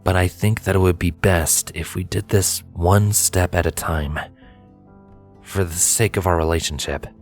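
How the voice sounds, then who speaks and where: Man playing a low, earnest young stallion. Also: fairly even intonation, faint music behind. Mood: gentle, earnest. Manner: quiet, earnest, measured. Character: shy, sincere young male pony, gently cautious suitor.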